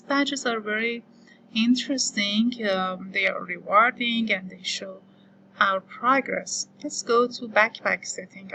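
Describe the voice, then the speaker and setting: Slow, bright-voiced woman tutor. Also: mid-range voice, poor audio. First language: Farsi. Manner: unhurried, thinking aloud with frequent fillers. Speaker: online course instructor. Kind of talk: screen-recorded tutorial walkthrough.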